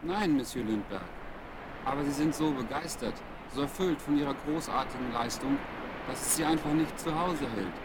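An actor playing an admiring French attendant in a German children's audio drama, formal, courteous and flattering. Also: male voice, mid-range voice, normal speed, lightly acted. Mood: respectful admiration. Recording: vinyl rip, loud street-like noise.

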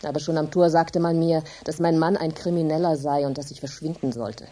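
Embittered, grieving peasant widow, low-voiced. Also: female voice, steady tone, brisk. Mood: anguished, indignant. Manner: intense, dramatic, emphatic storytelling with hushed drops. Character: grief-stricken, embittered poor farm labourer's widow speaking through Rachel. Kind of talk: German radio drama monologue.

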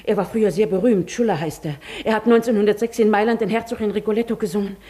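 A woman's voice: mid-range voice, steady tone, fast, dark tone, lightly acted. An actress in a German radio play, playing a distraught, genteel lady with a formal, anxious manner. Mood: confused, dejected.

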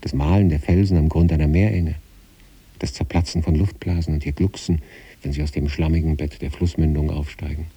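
Male narrator for literary prose, very dark and low timbre, measured, contemplative read. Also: steady tone, breathy edge. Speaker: narrator reading a literary story. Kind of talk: scripted literary narration in the first person, as letters.

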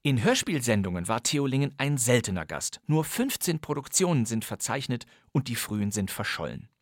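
A man's voice: mid-range voice, lively intonation, normal speed, no room sound. A man hosting a radio podcast, reading a script with wry, ironic commentary in a studio. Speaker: podcast host.

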